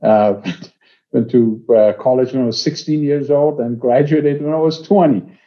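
A man in his sixties from Iran with an Iranian accent, speaking conversationally as an interview guest, with halting phrases and frequent pauses. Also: medium pitch. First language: Persian. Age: 60s. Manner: halting, pausing often mid-phrase. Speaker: interview guest, company executive. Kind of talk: conversational life-story interview. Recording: slightly dull, lo-fi audio.

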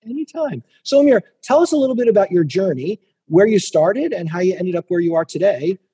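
A man hosting an interview in a conversational style, with courteous, measured phrasing. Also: sits high, lively intonation, dark tone, husky. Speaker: interview host.

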